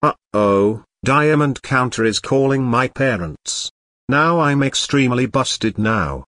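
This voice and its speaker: A man's voice: medium pitch, lively intonation, takes it slowly, a bit bright. A man voicing a bratty young boy who rants and insults in a cartoon. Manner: whiny, insulting ranting. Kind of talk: cartoon grounded-video dialogue.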